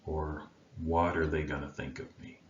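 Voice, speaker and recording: A man with a remarkably deep voice leads a guided meditation. He speaks slowly and soothingly, leaving long pauses between gentle phrases. The sound is roomy and a little muffled. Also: level tone. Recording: roomy, dull sound with faint background noise.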